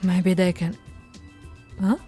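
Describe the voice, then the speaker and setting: A woman voicing a flirty, no-nonsense female police officer in an ASMR roleplay, switching between firm orders and soft, teasing coaxing. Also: on the low side, little pitch movement, takes it slowly, soft background music. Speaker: voice actress.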